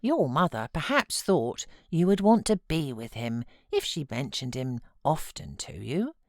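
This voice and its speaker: A woman plays a kindly British housekeeper from an audiobook. The delivery is gentle and reassuring, with coaxing, animated rises and falls, in a very dry recording. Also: low-pitched, unhurried, dry recording, full character voice. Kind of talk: audiobook character voice.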